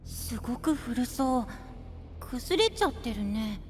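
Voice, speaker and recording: A man playing a small, childlike boy, excitable and blunt, exclaiming casually in an anime-style audio drama. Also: extremely high pitch, slow, slight background noise, heavily acted. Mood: subdued.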